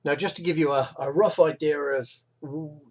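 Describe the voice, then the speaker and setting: A man's voice: fairly high pitch, moderate pace. Chatty man. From West Midlands, England. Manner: chatty, relaxed, with fillers and asides. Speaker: history video narrator. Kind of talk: informal explanatory narration over maps.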